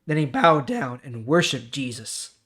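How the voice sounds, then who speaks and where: A man's voice: medium pitch, sing-song, unhurried, fairly bright tone. A man hosting a Bible reading, explaining scripture informally and checking in with the listener.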